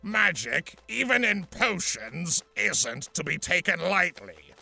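Gravelly, sardonic centaur villain. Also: male voice, sits high, very animated, slow pace, sharp tone, heavily acted. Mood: stern. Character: gruff, grudging male centaur villain, wary and sardonic. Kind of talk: animated-series fan fiction audio drama. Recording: music under the voice.

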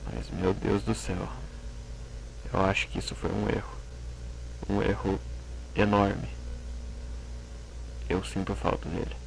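A man playing a worried friend giving halting testimony in an analog horror story, his voice gravelly. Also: sits low, flat delivery, a little hiss or hum, full character voice, poor audio. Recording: music behind the voice.

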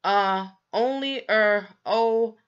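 Woman voicing a sheepish, hesitant young boy, higher-pitched. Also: extremely slow, clear, resonant tone, highs cut off. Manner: hesitant, stammering.

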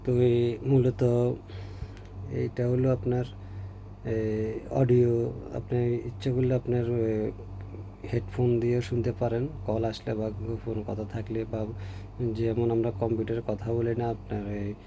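A man with a Bangladeshi Bengali accent gives an informal, halting repair demonstration as a technician, often repeating phrases. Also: mid-range voice, completely flat delivery, warm tone. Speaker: repair technician presenting a tutorial. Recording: noticeable background hum and noise.

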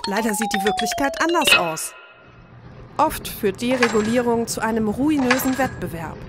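A woman narrating a scripted explainer in a measured, matter-of-fact way. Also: on the high side, expressive, over loud music.

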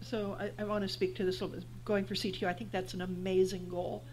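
A woman's voice: mid-range voice, little pitch movement, quick pace, a little mellow, a little hiss or hum, poor audio. A woman moderating a convention panel, giving encouraging advice into a table mic. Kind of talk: casual panel discussion.

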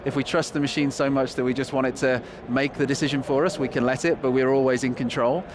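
An energetic man with a Northern English accent talks through his answers fast, with ums and restarts. His voice sits in an average range. He was recorded on a lapel mic with clear trade show noise behind him. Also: medium pitch. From England. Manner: quick, enthusiastic, with fillers. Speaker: guest, company chief executive. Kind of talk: conversational interview answers. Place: convention hall floor.

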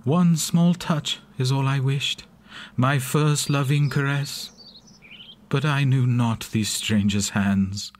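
An actor playing Frankenstein's creature, an anguished, poetic monster, in a solemn, measured horror audio drama monologue. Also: male voice, medium pitch, slight background noise, lightly acted. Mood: anguished, fearful. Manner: solemn, measured, theatrical delivery.

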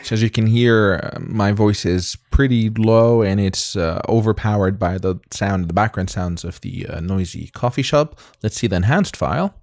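A man in his thirties with a non-native English accent, casually demoing software in a relaxed, chatty way with frequent fillers, recorded at home on a close mic. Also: on the low side, moderate pace, few pauses, no echo. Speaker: tech reviewer. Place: home studio room with colored lights.

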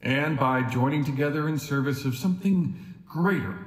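A man playing a charismatic organization president with veiled menace, giving a deliberate, grandiose podium speech in an audio drama. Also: a little high, close to an everyday voice. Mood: ominous. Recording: echoey hall with long reverb.

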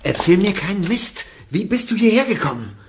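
A man's voice: high-pitched, very animated, a little fast, slight background noise. Brusque, hot-tempered young guy. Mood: suspicious. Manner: brusque, confrontational. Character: impatient, suspicious young man, hot-tempered captive. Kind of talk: German radio play acting.

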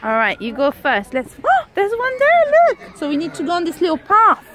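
Very high-pitched, coaxing mother outdoors. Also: wildly swooping pitch, moderate pace, a bit bright, slight background noise. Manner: exclamatory, coaxing, sing-song child-directed talk. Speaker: vlogging mother. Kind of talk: casual excited chat to small children. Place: outdoors on a woodland trail. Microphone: handheld phone, behind the camera. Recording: outdoor phone video with chatter behind.

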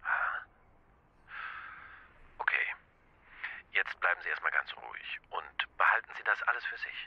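An actor playing a patronising, placating police inspector with a rough, gravelly voice in a radio drama, heard as if by telephone. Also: male voice, deep voice, sing-song, moderate pace, heavily acted. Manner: condescending, placating. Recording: dull, band-limited phone line.

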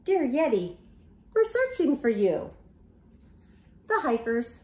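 A woman's voice: high voice, slow pace, reverberant. An older woman reading a picture book aloud to young children, with gasps and whispers, recorded at home in front of a camera. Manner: animated storytelling with gasps and whispers. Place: home room, indoors.

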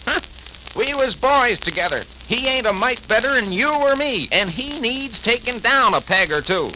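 A man's voice: very high voice, animated, normal speed, bright, forceful tone, voice pushed up, full character voice. A man voicing a grumpy, spiteful old small-town man with folksy grumbling, on a vintage children's story record. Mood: grumpy, scornful. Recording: noisy vintage 78rpm record.

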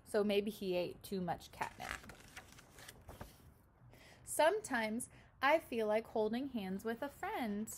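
A woman in her twenties, a pre-kindergarten teacher, reading a picture book aloud and explaining it simply and expressively with questions for young children. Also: medium pitch. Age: 20s. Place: small room at home, indoors.

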